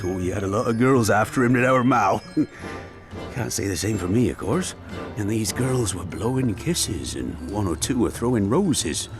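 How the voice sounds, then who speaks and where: An actor playing a warm, nostalgic older British man telling stories in a chatty, wistful way in a naturalistic radio drama. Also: lowish voice. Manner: chatty, chuckling, wistful reminiscing.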